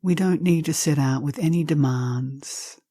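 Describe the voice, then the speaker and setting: A woman leading a guided meditation, speaking gently and softly in unhurried phrases with long pauses, with some breathiness. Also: low voice, breathy edge, no room sound, studio quality. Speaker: meditation teacher.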